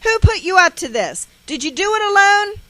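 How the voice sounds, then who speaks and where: A woman storyteller voicing an enraged, abusive mother, harsh and shouting, who scolds and threatens. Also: very high-pitched, very animated, moderate pace, no room sound, pitched above the performer's own voice, full character voice, rough recording. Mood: enraged ranting.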